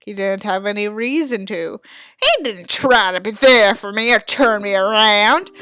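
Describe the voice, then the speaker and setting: A woman's voice: mid-range voice, unhurried, pushed and bright, clear, resonant tone, dry recording, pitched below the performer's own voice. A woman voicing a bitter, self-righteous teenage boy in an overwrought, mock-dramatic audiobook reading, stretching words for emphasis. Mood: scornful.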